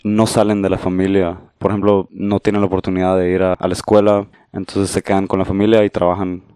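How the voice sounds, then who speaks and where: Guatemalan guy in his 30s, very low mellow voice, calm measured podcast guest. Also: smooth, dry recording, clean studio sound. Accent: Guatemalan Spanish. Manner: calm, measured, modest explainer. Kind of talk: casual explanatory interview talk.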